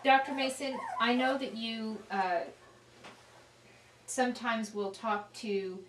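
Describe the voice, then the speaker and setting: A woman's voice: on the high side, slow, fairly bright tone, slight background noise. A woman interviewing casually, putting questions and reacting to what her guest tells her. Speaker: interviewer and dog breeder. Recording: echoey room sound.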